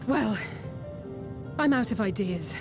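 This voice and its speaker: A woman playing a spirited, determined young heroine in a dramatic video game. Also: on the high side. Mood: weary frustration. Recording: music behind the voice.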